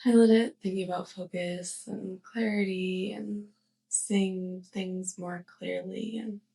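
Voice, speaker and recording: A woman playing a young, clumsy, affectionate witch neighbor in a soft, hesitant ASMR roleplay monologue, speaking straight to the listener. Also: medium pitch, steady tone, creaky, husky, exaggerated voice. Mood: tender, hesitant. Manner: hesitant, halting, giggly.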